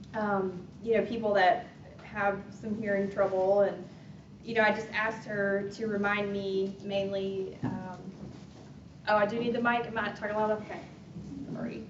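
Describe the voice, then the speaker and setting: A woman's voice: medium pitch, a little hiss or hum. Lady giving a casual talk, lots of ums, far off mic in an echoey room. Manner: chatty, many fillers and restarts. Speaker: hearing specialist giving a talk. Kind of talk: informal community presentation. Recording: roomy, distant, dull sound.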